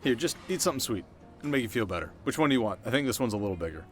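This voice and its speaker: A cocky teenage bad boy acted by a man speaks over background music in an ASMR roleplay. His voice sits at a middling male pitch, and he talks in loose street slang, teasing on the surface and soft-hearted underneath. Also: big pitch swings, racing, natural voice. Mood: sheepish, sincere. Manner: slangy, casual, stumbling when flustered. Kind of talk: ASMR roleplay acting, addressing the listener.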